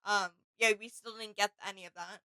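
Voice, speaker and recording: A woman's voice: medium pitch, fairly even intonation, fairly quick, pushed and bright, dry recording. A woman co-hosting a casual reaction chat, informally sharing her opinions as she thinks aloud. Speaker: reaction video co-host.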